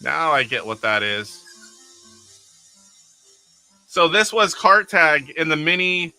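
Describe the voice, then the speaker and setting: A middle-aged man chats loosely on a live game stream, rambling, chuckling, and reading viewer comments aloud. His voice is very bright and somewhat high, recorded dry and close, with faint game music underneath. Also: very animated, takes it slowly. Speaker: retro game streamer host. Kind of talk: casual live-stream chat with gameplay. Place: attic converted to a game room.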